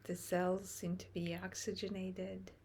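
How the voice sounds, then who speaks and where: A woman leading a guided meditation in a gentle, soothing manner, with phrases that often soften into breath. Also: fairly low pitch, level tone, unhurried, husky.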